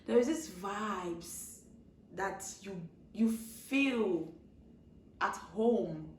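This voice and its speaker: A woman's voice: medium pitch, lively intonation, drawn-out words, darkish tone, slight background noise. A young woman from Nigeria talking casually to her viewers, rambling with restarts and repeated words. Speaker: vlogger, international student. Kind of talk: casual talk to camera. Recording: echoey room with faint ambience.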